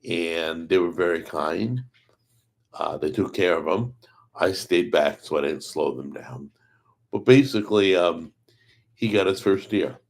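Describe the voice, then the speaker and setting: A middle-aged man hosts a live stream in a hoarse, weakened voice. His pitch sits very low, and he talks in a rambling, chatty way, stopping to greet viewers by name. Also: unhurried. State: hoarse from a paralyzed vocal cord. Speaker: host of a cooking channel livestream. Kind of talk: casual live stream chat.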